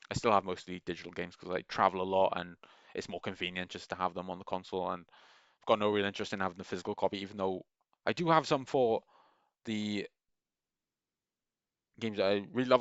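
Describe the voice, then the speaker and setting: A man with a very low, smooth and resonant voice talks through a loose, rambling monologue with plenty of enthusiasm and frequent restarts. The sound is dry and a little dull, like a lo-fi recording. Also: a bit bright, dry recording. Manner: meandering, enthusiastic, many restarts. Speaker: gaming video creator.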